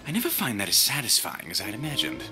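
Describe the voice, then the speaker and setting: Fussy British gentleman scientist, swooping intonation. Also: on the low side, huge pitch swings, quick pace, heavily acted. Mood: droll, detached. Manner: formal, precise, drily exasperated. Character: fussy, droll gentleman scientist, bickering and detached. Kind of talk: scripted video game dialogue. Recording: game audio with loud music behind.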